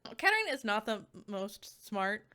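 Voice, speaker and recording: A woman's voice: medium pitch, sing-song, sharp tone. Female reviewer-streamer, mid-range voice, informal and conversational, stumbling through filler-heavy, unscripted-sounding commentary. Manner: informal, halting, filler-heavy speech with frequent "like". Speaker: video game reviewer and streamer. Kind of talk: casual, chatty spoken review with slides.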